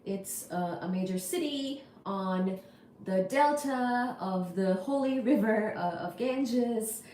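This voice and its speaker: A woman's voice: mid-range voice, slow pace, mellow, a little hiss or hum. Chatty woman from India, echoey room. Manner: chatty, practical, many fillers like "you know" and "kinda". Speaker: livestream host. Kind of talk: casual livestream chat answering viewers.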